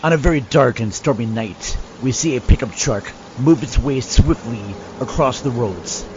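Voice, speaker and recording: A man narrating a horror audio drama as a theatrical, deliberate scene-setter. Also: medium pitch, background noise, natural voice. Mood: suspenseful. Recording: dull, band-limited podcast audio.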